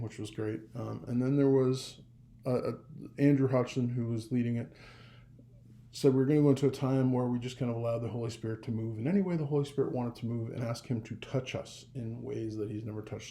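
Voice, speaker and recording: A young man telling a personal story in a casual vlog, rambling and animated, with a gravelly voice. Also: mid-range voice. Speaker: vlogger.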